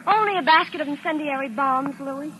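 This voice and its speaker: A woman's voice: sits high, brisk, bright, forceful tone. An actress playing a keen, sceptical woman in a 1940s radio thriller. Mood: alert. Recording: noisy old 1940s radio broadcast.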